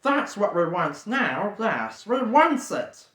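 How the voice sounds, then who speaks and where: A reader voicing a wretched, greedy cave creature who hisses and whispers, jumping from shrill squeals to low mutters. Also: big pitch swings, takes it slowly, a bit bright. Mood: gloating. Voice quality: hissing, whispery, lisping plurals. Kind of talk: audiobook character voice. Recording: roomy echo.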